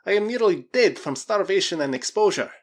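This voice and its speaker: A man's voice: high-pitched, expressive, fairly quick, voice pushed up. A man voicing a starving, desperate Russian in an adventure storybook, pleading and indignant with a strained manner.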